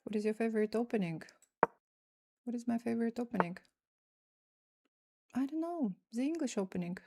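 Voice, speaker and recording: A woman's voice: fairly high pitch, dark tone. A woman with a Russian accent, a native Russian speaker, streaming chess and thinking aloud over her moves in casual commentary. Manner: thinks aloud while playing fast games.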